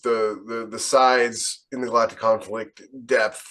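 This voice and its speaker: A man's voice: lowish voice, a little slow. A man hosting a casual podcast discussion, opinionated and acting out the exchanges he retells. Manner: animated retelling, acts out quoted exchanges, opinionated. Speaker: podcast host and fiction author. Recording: distant from the microphone.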